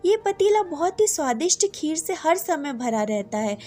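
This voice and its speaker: A miserable, starving soul of a man from a moral tale, played by a woman. He complains plaintively in a high, mellow voice. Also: sing-song, normal speed. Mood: despairing.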